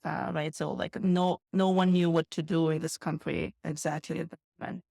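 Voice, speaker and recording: A woman's voice: low-pitched, flat delivery, normal speed, vocal fry, dark tone, no room sound, studio quality. A woman from Kazakhstan, a guest entrepreneur chatting loosely in an interview, full of "like" fillers. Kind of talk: casual interview chat.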